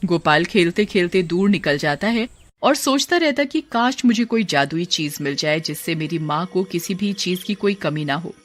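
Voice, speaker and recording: A woman's voice: lowish voice, lively intonation, moderate pace. A woman reading a scripted children's story as narrator.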